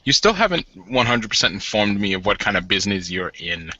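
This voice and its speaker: A man playing a nervous newlywed husband in a tabletop game, polite and wryly formal. His halting voice is very sharp and bright, on a somewhat muffled recording. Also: on the low side, big pitch swings, no room sound, exaggerated voice. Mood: curious, probing.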